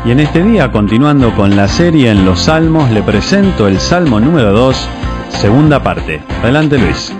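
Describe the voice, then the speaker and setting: A man's voice: medium pitch, sing-song, moderate pace, music in the background. A man hosting a radio program, giving a scripted introduction in a formal, welcoming announcer manner.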